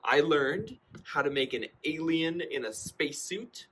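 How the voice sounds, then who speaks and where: A man's voice: fairly high pitch, unhurried, a little hiss or hum. A young man telling a personal anecdote in a casual, conversational way, acting out bits of dialogue as he goes. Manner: animated delivery, acting out quoted dialogue. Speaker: storyteller.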